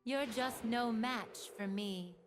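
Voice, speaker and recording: A woman's voice: a little high, takes it slowly. A woman voicing a young elemental mage in a video game, with theatrical, teasing, punchy one-liners. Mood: playful. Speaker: game character voice. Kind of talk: game voice lines.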